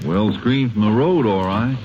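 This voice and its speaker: A man's voice: lowish voice, normal speed, close to an everyday voice. An actor playing a loyal, plain-spoken lawman sidekick in a 1950s radio western. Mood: watchful. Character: loyal, folksy male lawman, the Ranger's sidekick. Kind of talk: 1950s radio drama acting. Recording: noisy, muffled 1950s radio recording.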